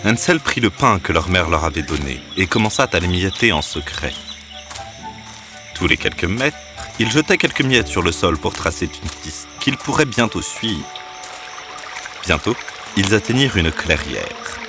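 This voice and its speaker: A man's voice: low voice, big pitch swings, normal speed. A man narrating a scripted fairy tale as a storyteller. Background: background music.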